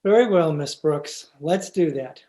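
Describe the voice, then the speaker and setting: An actor playing a polite, hesitant male high school biology teacher in an old-time radio comedy. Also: unhurried, dark tone, lightly acted. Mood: mild, agreeable. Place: remote recording over video call.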